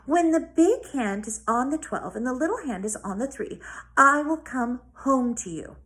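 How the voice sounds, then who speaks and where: A woman reads a children's novel aloud as a storyteller, with deliberate, dramatic phrasing. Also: high-pitched, lively intonation, fairly bright tone. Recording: noticeable background noise.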